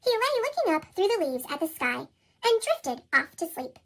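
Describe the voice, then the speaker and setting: Female storybook narrator, chipmunk effect, extremely high pitch, very lively and expressive read-aloud. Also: normal speed.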